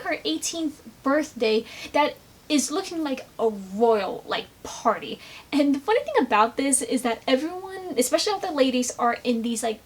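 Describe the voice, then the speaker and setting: High-voiced woman giving a casual recap. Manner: animated, sarcastic asides. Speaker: video commentator. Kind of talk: casual fan comic recap commentary.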